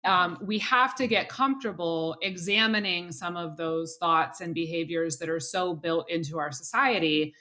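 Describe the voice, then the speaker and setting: A woman's voice: fairly low pitch, moderate pace, hardly any pauses, pushed and bright. A woman hosting an interview podcast, speaking thoughtfully with frequent "you know"s and restarts. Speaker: podcast host.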